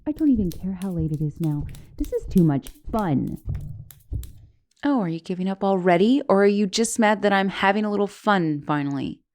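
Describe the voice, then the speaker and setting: A woman's voice: mid-range voice, expressive, moderate pace, a little mellow, close to an everyday voice. A woman voicing a vengeful, teasing young giantess in an ASMR roleplay monologue, mocking and menacing in a playful, theatrical way. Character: gloating, teasing vengeful young giantess taunting shrunken captive.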